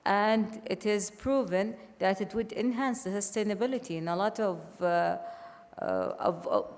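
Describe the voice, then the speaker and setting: A middle-aged woman from Saudi Arabia answering on a conference panel, pausing often with fillers. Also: medium pitch, lively intonation, a little mellow. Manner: halting, frequent uh fillers. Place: conference hall stage. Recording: reverberant conference hall.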